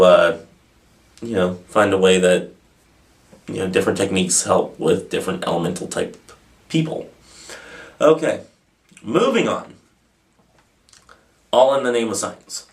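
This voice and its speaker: A man hosting an informal video, answering questions off the cuff in a rambling, self-deprecating way. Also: sits low. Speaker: host of a pagan question-and-answer video channel. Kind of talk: casual, unscripted answering of viewer questions.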